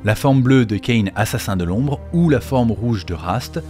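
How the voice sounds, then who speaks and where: A man's voice: medium pitch, animated, a little slow, a little mellow. A man narrating a scripted explainer as the host of a video on game lore. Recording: faint music behind the voice. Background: faint background music.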